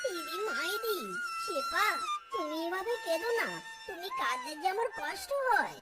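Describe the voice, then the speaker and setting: A performer voicing an anxious, soft-hearted male servant in a cartoon, pleading deferentially. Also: very high voice, wildly swooping pitch, moderate pace, heavily acted. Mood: tearful concern. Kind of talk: melodramatic cartoon acting. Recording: loud children's music behind.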